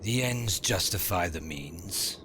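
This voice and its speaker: Casting a man as a grave, loyal retainer: lowish voice, deliberate slow delivery, stubborn dignity, comic dub. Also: animated, background noise. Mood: solemn defiance. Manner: deliberate, solemn. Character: loyal, defiant male former courtier, grave and dignified. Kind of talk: sci-fi comic dub acting.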